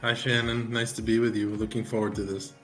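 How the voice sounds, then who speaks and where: Low, even-toned male lawyer. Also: fairly quick, soft background music. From United States. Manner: earnest, explanatory, steady. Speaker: immigration attorney and podcast co-host. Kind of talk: podcast conversation.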